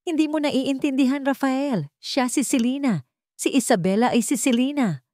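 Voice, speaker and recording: A woman voicing a frantic, scheming wife in an audio drama, shrill, urgent and pleading. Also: moderate pace, darkish tone, dry recording, clean studio sound, natural voice.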